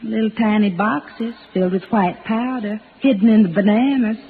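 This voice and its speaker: A woman's voice: a little high, moderate pace, lightly acted. Echoing, rustic young woman, played by an actress. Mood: subdued, confessing. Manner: plain rustic grammar, hesitant. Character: frightened young Southern backwoods woman, soon to be married. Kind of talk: 1950s radio drama acting. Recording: old radio, echoing, faint hiss, narrow band.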